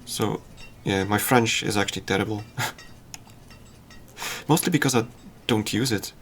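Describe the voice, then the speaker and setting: A man whose first language is Dutch, casually talking through his game on a livestream in a halting, thinking-aloud way. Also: lowish voice, slight background noise. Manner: halting, self-deprecating, thinks aloud with fillers. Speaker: streamer.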